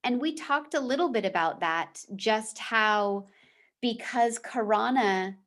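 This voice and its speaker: A woman teacher reading a children's book aloud in a measured, deliberate storybook manner, with short explanatory asides. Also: a little high, a bit bright.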